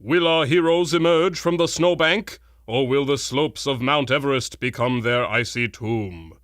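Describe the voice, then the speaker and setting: An actor playing a dramatic, suspenseful announcer of an old-time radio adventure serial. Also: male voice, mid-range voice, a little slow, fairly bright tone, no room sound, lightly acted.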